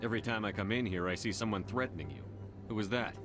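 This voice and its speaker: A man's voice: lowish voice, fast talker, natural voice. An actor playing a male military commander with a firm, clipped delivery. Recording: game audio with music.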